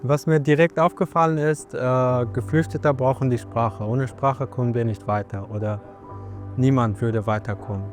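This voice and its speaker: A man speaking calmly and in a measured way in a documentary interview. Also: mid-range voice. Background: music.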